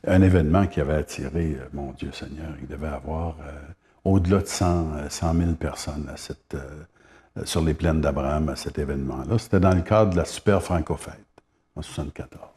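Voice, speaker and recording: A Québécois man in his seventies with a very low, mellow voice speaks as a guest. He reminisces at an unhurried pace, pausing often on hesitations. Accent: Québécois French. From Gaspé Peninsula, Quebec. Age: 70s. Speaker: singer-songwriter guest. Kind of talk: casual interview chat.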